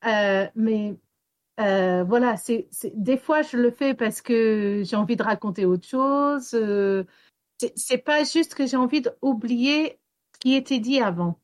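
A woman's voice: a little high, slow pace, no room sound, clean studio sound. Hesitant woman raised in Wales. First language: English. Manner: hesitant, many fillers and restarts. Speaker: storyteller and singer. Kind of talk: radio interview answers.

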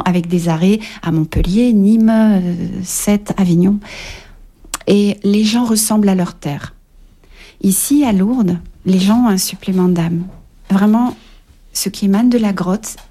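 A reflective woman author talks on a radio show, hesitating often with fillers as she gathers her thoughts. Her voice is mellow and soft-toned, at a middle pitch for a woman. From Paris, France. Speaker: author guest. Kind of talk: radio interview. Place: radio studio.

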